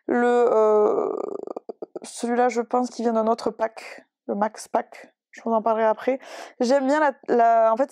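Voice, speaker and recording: Young woman with a higher voice, chatty, self-mocking artist walking through her tools, dry close sound. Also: dark tone, no room sound. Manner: chatty, self-deprecating, many hesitations. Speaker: digital illustrator. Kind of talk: casual drawing tutorial.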